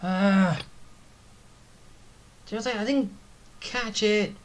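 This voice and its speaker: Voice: mid-range voice, takes it slowly. A video game player giving casual commentary, hesitant, repeating words and muttering to themselves. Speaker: gaming streamer, player.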